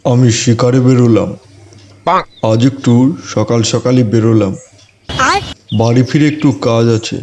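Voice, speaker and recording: Male forest animal for cartoon, man acting: mid-range, mellow, unhurried, matter-of-fact. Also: medium pitch, fairly even intonation, a little hiss or hum, lightly acted. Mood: easygoing. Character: male forest animal, relaxed and plain-spoken.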